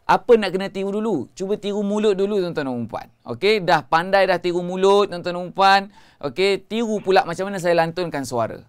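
Bright-voiced Malaysian man coaching recitation. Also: high-pitched, brisk, clear, resonant tone. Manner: emphatic, repeats phrases, gestures a lot. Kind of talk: live-streamed religious lesson. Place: studio before a green-screen hedge backdrop.

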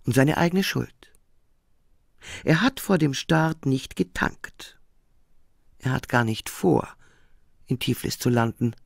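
A man's voice: medium pitch, expressive, takes it slowly, gravelly, long pauses, no room sound, clean studio sound, heavily acted. An actor playing a sober male police colleague in a radio crime drama, reporting in a factual, matter-of-fact way.

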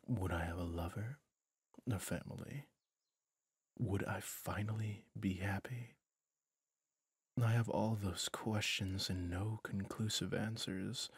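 Casting: male actor as a resigned, tender villain for an ASMR fantasy drama; low, gravelly, breathy near-whisper, brooding and confiding. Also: no room sound, clean studio sound, heavily acted. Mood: wistful, lonely. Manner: slow, brooding, confiding with pauses. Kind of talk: intimate ASMR roleplay drama.

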